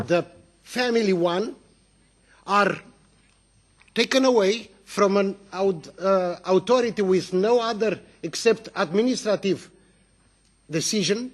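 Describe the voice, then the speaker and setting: A man from Romania, giving an emphatic, indignant speech as a member of parliament at a committee hearing. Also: sits high. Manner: indignant, emphatic, with halting restarts.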